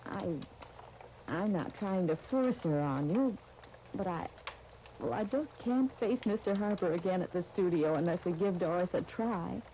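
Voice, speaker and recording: An old, faintly hissy radio broadcast from 1939 carries a soft, mellow heroine acted by a woman. She is a tactful young businesswoman in a soap opera, earnest and reasonable, gently persuading in polite period radio style. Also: lowish voice, moderate pace, natural voice, low-quality recording. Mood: conciliatory, persuading. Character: Helen, earnest, tactful young dress designer and shop partner.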